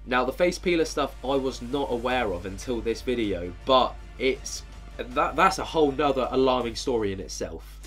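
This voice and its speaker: A man's voice: medium pitch, lively intonation, normal speed, soft background music. A man with a head cold and a nasal, stuffy voice, rambling casually as a channel host with many fillers. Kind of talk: casual commentary on a video.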